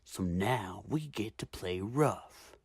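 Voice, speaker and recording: A woman voicing a cruel, smirking gangster man in a gravelly, taunting drawl for a story reading. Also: very deep voice, expressive, slow, a little mellow, dry recording, clean studio sound, voice pushed down, heavily acted. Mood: mocking menace.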